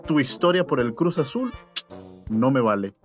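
A man's voice: mid-range voice, animated, moderate pace, soft background music. A man, a news producer, joking in a dry, teasing way. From Venezuela. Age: 30s. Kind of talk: casual chat.

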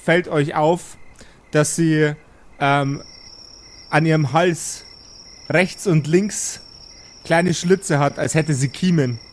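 A man's voice: a little high, steady tone, slow pace, long pauses. A man narrating an improvised tabletop game as game master, joking and searching for words as he goes.

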